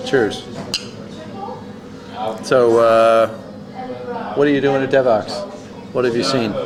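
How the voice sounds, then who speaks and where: A man hosting casual interviews in a hotel bar, joking in a deadpan way. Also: mid-range voice, takes it slowly. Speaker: host. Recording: crowd chatter. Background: bar crowd.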